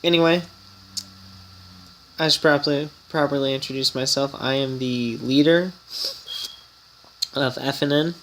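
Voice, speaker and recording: A man's voice: mid-range voice, steady tone, measured pace, pauses often, fairly bright tone, poor audio. Male gaming vlogger, slightly congested and nasal, rambling casual delivery, faint background hiss. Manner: rambling, chatty, easily startled. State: slightly nasal from a coming cold. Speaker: gaming channel host and guild leader. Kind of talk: casual mobile game commentary vlog.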